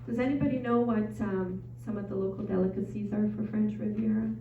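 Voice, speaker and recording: A chatty woman with a Brazilian Portuguese accent presents to a live audience, filling gaps with ums and uhs. Her voice is mellow and warm. She sounds a bit distant from the mic in an echoey room, with a dull, muffled recording. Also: medium pitch, fairly even intonation, a little fast, slight background noise. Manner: chatty, frequent ums and uhs, self-deprecating. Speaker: travel presenter at an info session.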